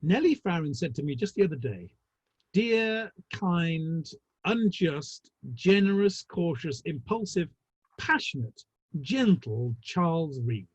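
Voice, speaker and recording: Slightly dull, dry online sound carries a man acting a wry Victorian theatre manager. The husky voice sits fairly high and talks in an arch, ornate, gossipy way, as in a play reading. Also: lively intonation, takes it slowly, no echo, heavily acted. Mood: droll, gossipy. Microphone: online call.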